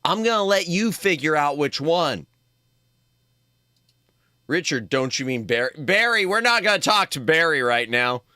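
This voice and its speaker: A man riffs on a game for his live chat, joking and animated. His pitch leaps around and his tone is bright and forward. The sound is dry and close. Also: unhurried, long pauses, no room sound. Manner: jokey, animated, talks to chat. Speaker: streamer.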